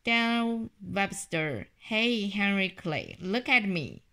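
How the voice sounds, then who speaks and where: A curious young farm boy who calls out and asks questions, voiced by a woman reading aloud. He speaks at an unhurried, steady pace. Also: medium pitch, heavily acted.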